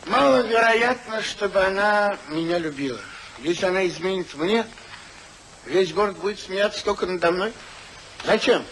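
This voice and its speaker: An actor playing an anxious, trusting elderly gentleman in an emphatic, theatrical 1950s radio manner. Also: high-pitched, moderate pace. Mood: aggrieved. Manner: theatrical, emphatic, repeats himself. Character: fussy elderly husband, wronged, anxious, trusting English gentleman. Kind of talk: 1950s stage-style radio acting. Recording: noisy 1950s radio play recording.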